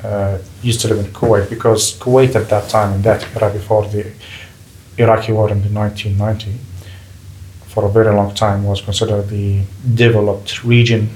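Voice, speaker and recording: Low-voiced man, measured and careful. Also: level tone, darkish tone, low-quality recording. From Palestinian refugee, grew up in Gulf region. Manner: measured, pauses and restarts while choosing words. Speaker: guest. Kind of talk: interview, personal account. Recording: faint background noise.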